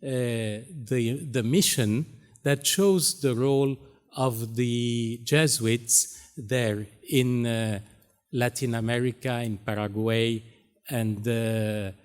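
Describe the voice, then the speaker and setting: A man from Syria, raised in Lebanon, with Arabic as his first language, giving a keynote speech as a Jesuit priest, pausing often with many fillers. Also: medium pitch, fairly even intonation. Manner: halting, many pauses and fillers. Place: university hall stage.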